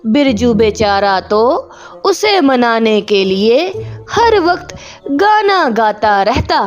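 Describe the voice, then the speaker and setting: Female children's story narrator, high voice, swooping intonation, extremely unhurried, music underneath. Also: very animated, highs cut off.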